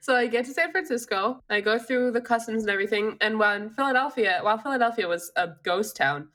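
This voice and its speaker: An Australian woman co-hosting a casual chat, congested from an illness, telling stories in an animated way and laughing often. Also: a little high, a little fast. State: congested, with a cough. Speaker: podcast co-host.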